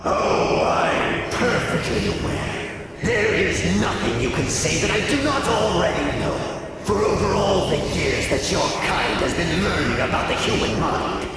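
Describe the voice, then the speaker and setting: An actor voicing a menacing, arrogant nightmare spirit in a video game, with pompous, theatrical and formal delivery. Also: male voice, high voice, big pitch swings, unhurried, heavily acted. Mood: smug, taunting. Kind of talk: scripted video game voice acting. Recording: echoey reverberant hall, distant, loud music behind.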